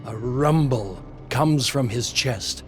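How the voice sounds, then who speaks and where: A man narrates a dark dramatic tale with slow, deliberate pacing. His delivery is solemn and measured, with faint music underneath. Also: mid-range voice. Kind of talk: dramatic scripted story narration.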